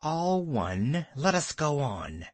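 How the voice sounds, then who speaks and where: A huge rock python answers curtly in a slightly raised, slow and terse voice, as a man voices him in a storybook reading. The sound is close and dry. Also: a little high, sing-song, dry recording, exaggerated voice. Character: huge male rock python, curt and steady.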